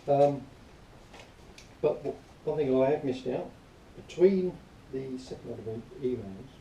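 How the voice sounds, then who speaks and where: A man giving an informal spoken review in a rambling, matter-of-fact, grumbling way, partly reading aloud, recorded quietly on a camera some distance away. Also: medium pitch, expressive, unhurried. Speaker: product reviewer. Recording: distant quiet mic, roomy echo, faint noise.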